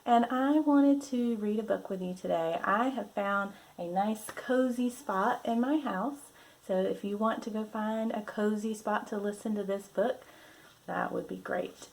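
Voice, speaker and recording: A woman reading a picture book aloud to young children, with deliberate, gently instructive delivery and guided breathing prompts. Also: mid-range voice. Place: at home.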